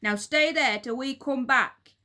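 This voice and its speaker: A woman voicing a furious, nasty old man from a children's storybook, shouting and barking orders like a bully. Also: sits high, measured pace, voice pushed up. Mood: barking, bossy.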